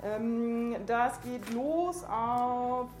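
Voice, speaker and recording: A woman teaching a school German class in a classroom, speaking hesitantly and haltingly. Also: fairly high pitch, over loud music. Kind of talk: classroom lesson. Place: echoing classroom. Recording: echoey room.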